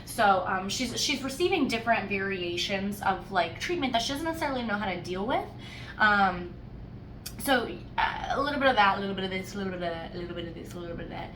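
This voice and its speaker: A woman's voice: mid-range voice, fast talker. Chatty woman in an echoey room. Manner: animated storytelling with playful, wry emphasis. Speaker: host of a book discussion podcast. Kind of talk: casual, chatty book review. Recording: roomy echo, distant mic, faint noise.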